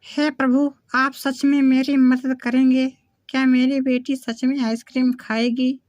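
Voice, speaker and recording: A woman's voice: sits high, flat delivery, a little slow, darkish tone, dry recording, highs cut off. A woman voicing a poor, earnest village mother in a cartoon moral story, speaking plainly. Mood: amazed, hopeful. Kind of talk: Hindi animated moral story acting.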